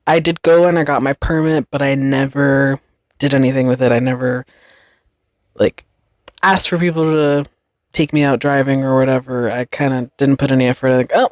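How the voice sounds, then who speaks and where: Slow, reflective young woman. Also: low voice, darkish tone, dry recording. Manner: slow, reflective, rambling with fillers. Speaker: podcast host. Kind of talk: casual solo podcast monologue.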